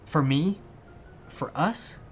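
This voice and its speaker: A man's voice: a little high, expressive, very slow, faint music behind. A man playing an anxious, sensible, conventional fiancé in a comedic short film, earnest and reasoning as he protests. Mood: exasperated pleading.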